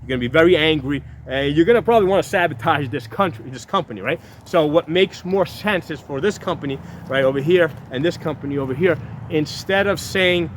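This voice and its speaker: A man's voice: a little high, a little fast, a little hiss or hum. A man around fifty talking casually to his viewers in a blunt, rambling way, restarting words and asking "right?" again and again. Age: 50s. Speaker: video host. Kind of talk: casual talk to camera.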